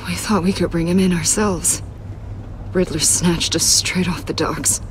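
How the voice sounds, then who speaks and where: A woman's voice: lowish voice, fairly even intonation, a little slow, slight background noise, lightly acted. An actress playing an earnest, guilt-ridden female agent in a video game, with a subdued manner. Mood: remorseful.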